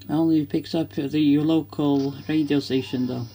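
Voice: mid-range voice, fairly even intonation, normal speed, dark tone, breathy edge. A vlogger casually demonstrating products in plain, simple informal phrasing. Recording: noisy home camera recording.

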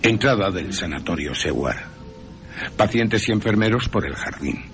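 A man's voice: fairly low pitch, lively intonation, normal speed, over music. A man with an accent from Spain narrating a story for radio in a wry, theatrical, dramatic manner. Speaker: narrator and presenter.

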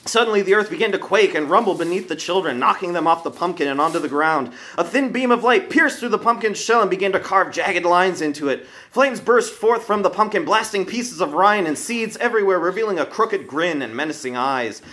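A man narrates a comic story in a high, forceful, bright voice. His delivery is scripted and theatrical, that of a dramatic storyteller. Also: lively intonation, brisk, hardly any pauses.

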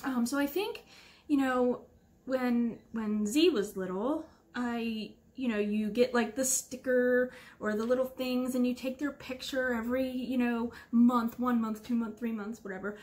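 Woman, late thirties, slightly high voice; rambling, casual knitting-vlog host talking to camera at home. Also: measured pace, darkish tone. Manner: chatty, rambling, full of fillers and restarts. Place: living room at home, indoors.

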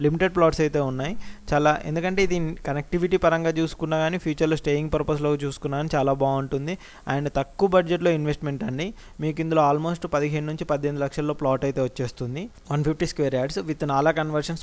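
A man narrating a property tour voice-over in a rapid promotional patter, mixing in English words. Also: fairly high pitch, darkish tone. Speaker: real estate presenter. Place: voice-over, not recorded on site.

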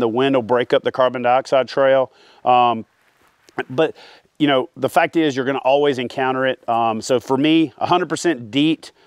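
A man's voice: mid-range voice, dry recording. Guy in his 40s, fishing vlogger, talks w his hands, lots of uhs, dry close sound. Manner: animated, talks with his hands, many "uh" fillers. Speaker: fishing channel host. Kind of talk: casual direct-to-camera advice. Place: outdoors by a lake among trees.

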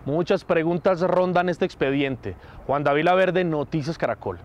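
A man in his thirties with a Colombian accent reporting outdoors above a city, posing questions deliberately. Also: a little high, highs cut off. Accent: Colombian Spanish. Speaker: field reporter. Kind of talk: stand-up news report.

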